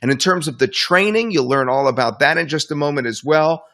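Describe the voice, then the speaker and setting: A man hosting an online webinar, talking up a product in an enthusiastic, rambling sales pitch. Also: a little fast, fairly bright tone. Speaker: webinar host. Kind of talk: promotional webinar talk.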